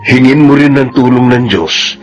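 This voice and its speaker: A man's voice: mid-range voice, normal speed, close to an everyday voice, poor audio. Caring Filipino suitor played by a man, music behind. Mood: consoling. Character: caring widower, steady suitor consoling a mother. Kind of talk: Filipino radio drama acting.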